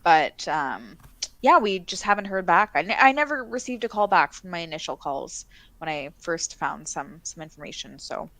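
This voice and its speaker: A woman's voice: fairly low pitch, big pitch swings, normal speed, a bit bright, no room sound. A woman in her thirties from Canada with a Canadian accent, co-hosting a live stream in casual chat, talkative with frequent fillers and laughter. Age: 30s. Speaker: co-host of a missing-persons livestream.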